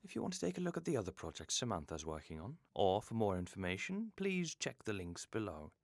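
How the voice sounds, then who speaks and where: A man hosting an interview from a script, in a formal, measured manner. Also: on the low side, sing-song, dry recording, clean studio sound. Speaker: interview host.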